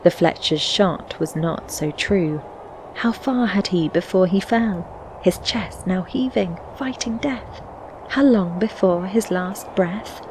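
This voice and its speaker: A woman playing a sorrowful, reflective fantasy game heroine, reciting verse in measured phrases with breathy endings. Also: on the low side, background noise. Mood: grave, anxious. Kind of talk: scripted poetic narration for a fantasy adventure game. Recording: music under the voice. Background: background music.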